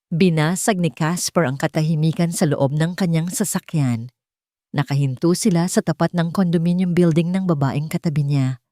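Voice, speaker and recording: A woman narrates a dramatic romance audio story in a fairly low voice. She places short phrases deliberately to build suspense. The sound is dry and close, with little room around it. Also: mellow, no room sound.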